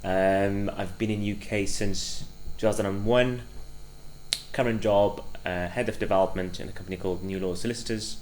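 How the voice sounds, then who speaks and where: Welsh guy in his 20s, deep voice, thinks out loud with lots of ums, bit of background hiss. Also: steady tone, normal speed, rough recording. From Afghanistan. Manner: reflective, hesitant, many fillers. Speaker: guest. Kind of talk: casual interview.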